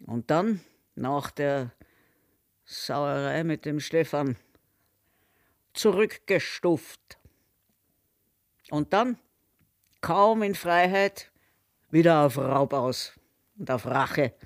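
An actor playing a shaken, haunted survivor in a radio drama monologue, halting and reflective, with a southern German or Austrian accent. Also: male voice, fairly high pitch, animated, no echo, studio quality, heavily acted. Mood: bitter recollection.

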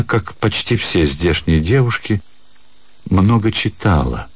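A man narrating a literary story in a scripted style for a radio drama. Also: low voice. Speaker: narrator, actor reading a story. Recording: faint music behind the narration.

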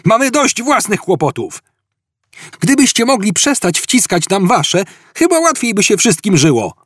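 Man acting a high-pitched, bemused space traveller. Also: moderate pace, breathy edge, dry recording, studio quality. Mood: indignant. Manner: puzzled, protesting. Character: bemused, indignant male galactic traveller, quick to retort.

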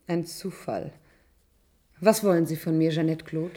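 A woman's voice: sits low, steady tone, unhurried, dark tone. An actress playing a wary, reluctant woman chosen as a test subject in a radio play, questioning hesitantly in formal speech.

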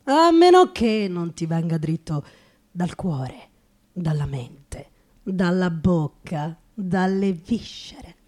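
Low, mellow world-weary drinking writer. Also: female voice, expressive. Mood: intense, insistent. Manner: halting dramatic delivery with repeated phrases and pauses. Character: world-weary drinking writer musing, male, confessional and intense. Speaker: stage actress performing a literary monologue. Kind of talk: theatrical radio reading of poetry and memoir.

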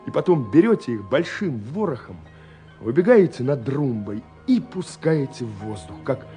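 A man's voice: mid-range voice, very animated, normal speed, warm tone, natural voice. An actor playing a dreamy, romantic young man in a radio play, musing tenderly over his love letters in a voice that often sinks toward a whisper. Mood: rapturous. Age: young adult. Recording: 1970s radio recording with music behind. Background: music.

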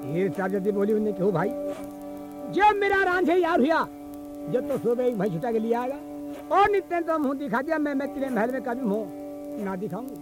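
A man. He performs as a traditional bard, alternating sung verse with narration, while an instrument plays clearly along on a field cassette recording. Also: very high-pitched, big pitch swings, moderate pace, music in the background. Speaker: traditional folk storyteller and singer. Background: musical instrument accompaniment.

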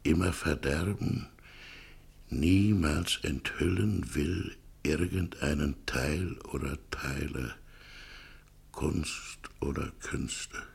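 An actor playing an anxious, courteous middle-aged man in a radio drama, with a gravelly, husky voice and a hesitant, muttering way of talking. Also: very deep voice, takes it slowly, exaggerated voice. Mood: musing, tender. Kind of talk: literary radio drama acting.

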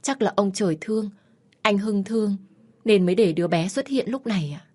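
A woman voicing a gentle, dutiful young widow in an audio story, earnest and deferential. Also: mid-range voice, animated, fairly quick, close to an everyday voice. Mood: meek, earnest.

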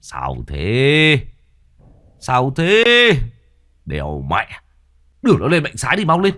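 A man voicing a gruff, foul-mouthed lame man who mutters and curses. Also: mid-range voice, wildly swooping pitch, slow pace, pushed and bright, voice pushed up, exaggerated voice.

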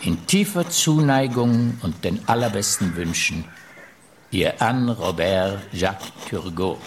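A male actor in a radio play as a formal statesman reading out his letter in measured tones. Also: fairly low pitch, lively intonation, slight background noise. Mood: courteous, grave. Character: formal French statesman composing a courteous letter.

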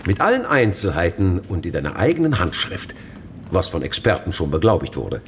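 A man's voice: sits low, wildly swooping pitch, a little fast, slight background noise. A man playing a menacing, demanding Soviet interrogator in a German radio play, with clipped delivery. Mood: coldly demanding. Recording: long cavernous reverb, faint music.

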